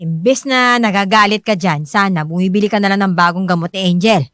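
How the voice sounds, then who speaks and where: An actress playing a devoted, hard-pressed wife and mother who is quick to bristle, with expressive, emphatic delivery in a radio drama. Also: mid-range voice, no echo, studio quality, natural voice. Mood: indignant. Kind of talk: Filipino radio drama acting. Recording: music bed under the voice.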